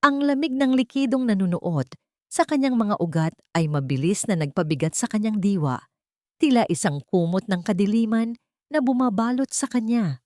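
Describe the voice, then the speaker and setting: A woman's voice: mid-range voice, expressive, moderate pace, dry recording, studio quality. A woman narrating a dramatic audio story in a somber, emotive way.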